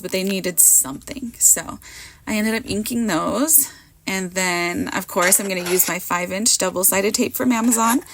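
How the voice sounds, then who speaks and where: A woman in her thirties or forties, hoarse from a cold, rambling in a chatty, self-mocking way as she narrates her card making at a craft table. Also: medium pitch, fairly bright tone, a little hiss or hum. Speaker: card maker. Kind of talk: casual crafting voiceover.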